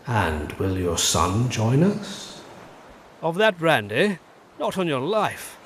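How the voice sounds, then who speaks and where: An actor playing a squire's son in an audio drama, a wary, formal and reserved gentleman. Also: mid-range voice, big pitch swings, takes it slowly, a little hiss or hum. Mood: wary, disapproving. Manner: formal, guarded.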